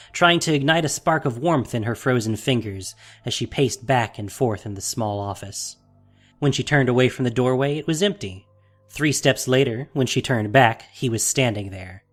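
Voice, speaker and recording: A man's voice: medium pitch, normal speed, fairly bright tone, faint music behind. A man reading scripted fantasy fiction as a dramatic narrator.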